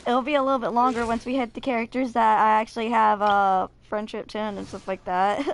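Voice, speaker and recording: A woman's voice: a little high, normal speed, creaky, faint music behind. A woman in her twenties hosting a casual gaming video, chatting and reading game lore aloud, sometimes stumbling and correcting herself. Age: 20s.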